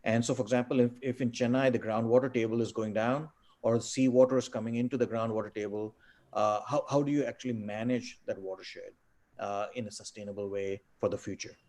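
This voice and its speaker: A middle-aged man who grew up in India and is a native Tamil speaker, answering webinar questions on a video call, halting with many fillers and repeated words. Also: mid-range voice, steady tone, a little mellow. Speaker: guest speaker.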